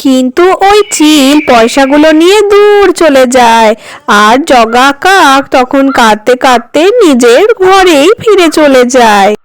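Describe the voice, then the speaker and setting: A woman narrating a children's cartoon story in a simple, even storytelling manner. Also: sits high, a little slow, slight background noise, rough recording.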